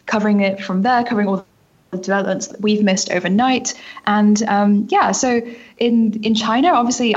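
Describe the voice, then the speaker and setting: Female journalist as podcast guest; husky, mid-pitched, chatty and informal delivery. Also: muffled recording. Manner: informal, frequent "like" and "kind of". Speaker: newspaper reporter being interviewed. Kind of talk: conversational interview answers.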